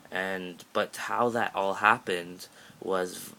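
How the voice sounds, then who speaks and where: A sixteen-year-old Canadian guy rambles through a casual vlog update, joking and restarting his sentences. His voice sits low, with a bright, forceful edge. He's filming on a phone held at arm's length, with a faint hiss of background noise. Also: fairly even intonation, slow pace, vocal fry. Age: teens. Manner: rambling, chatty, jokey, many fillers and restarts. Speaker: vlogger.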